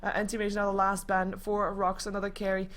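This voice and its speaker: A woman casting an esports match live in a casual, chatty, expressive way. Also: medium pitch, fairly quick. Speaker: esports commentator.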